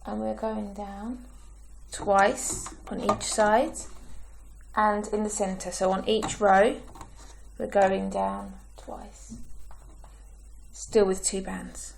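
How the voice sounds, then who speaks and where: Woman tutorial host, croaky and gravelly, slow patient delivery, faint clicks behind. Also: medium pitch, flat delivery, a little hiss or hum. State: croaky, clearing her throat. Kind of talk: step-by-step craft tutorial.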